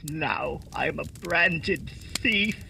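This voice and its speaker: A man acting a desperate, pleading thief, bright tense voice. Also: fairly high pitch, lively intonation, drawn-out words, creaky, slight background noise, exaggerated voice. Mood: frantic pleading. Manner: frantic, pleading. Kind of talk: holiday radio play acting.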